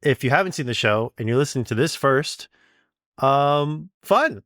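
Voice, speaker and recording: A man's voice: mid-range voice, expressive, moderate pace, fairly bright tone, dry recording, studio quality. A man hosting a casual interview, chatting and putting questions to a guest. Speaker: interviewer, host.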